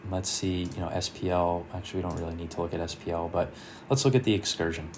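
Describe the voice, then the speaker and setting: A man presenting an informal screen-share software tutorial, explaining things and thinking aloud as he works. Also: low-pitched, fairly even intonation, fast talker, a little hiss or hum. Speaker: car audio tutorial presenter.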